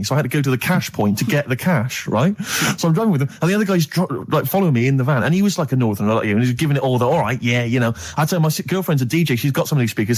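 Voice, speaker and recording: A man's voice: mid-range voice, darkish tone. A man telling a comic anecdote as a radio co-host, chattily acting out the dialogue.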